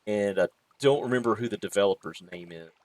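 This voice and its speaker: Male streamer co-host, lowish pitch, hesitant and halting in conversation. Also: darkish tone, no echo. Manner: hesitant, halting with many uh's. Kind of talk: casual gaming stream chat.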